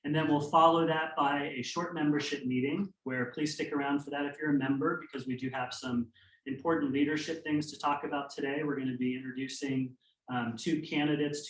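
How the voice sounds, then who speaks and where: A man hosting a club meeting, making friendly, chatty announcements with "um" fillers. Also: medium pitch. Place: church meeting hall. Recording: echoey hall, mic at a distance.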